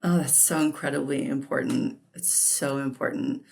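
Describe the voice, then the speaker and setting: A woman's voice: extremely low pitch, steady tone, moderate pace, creaky, a little mellow. A woman hosting a podcast, reading a guest introduction and then chatting casually and effusively, with many fillers and laughs. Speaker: podcast host. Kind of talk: casual interview chat.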